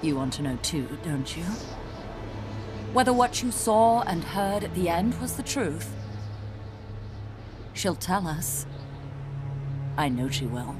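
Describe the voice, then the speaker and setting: A woman playing a gently insistent, obsessive scientist in a video game, with intent delivery over music and engine sound. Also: fairly low pitch, very animated, slow pace, pauses often. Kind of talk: dramatic video game acting.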